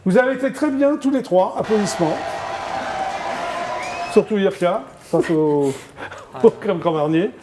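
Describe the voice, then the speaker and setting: A man hosting a TV game show, chatty and teasing, quizzing his guests with quick prompts. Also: high-pitched, crowd noise, background noise. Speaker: TV show host.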